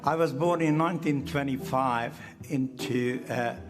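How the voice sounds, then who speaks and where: A man in his nineties from Hungary giving deliberate Holocaust testimony. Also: mid-range voice. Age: 90s. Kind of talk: recorded testimony interview. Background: soft guitar music.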